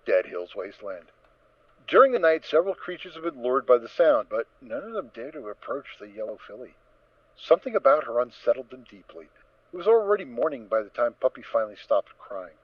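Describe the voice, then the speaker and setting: A man's voice: medium pitch, big pitch swings, a little fast, no echo, dull audio. A man narrating a scripted story in a dramatic reading style.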